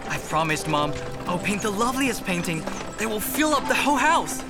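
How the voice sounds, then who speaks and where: Radio drama: passionate teenage painter, deferential and very animated, music underneath. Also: male voice, sits high, fairly quick. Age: young adult. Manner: earnest, respectful. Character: eager, deferential seventeen-year-old court painter, passionate young man. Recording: music behind the voice.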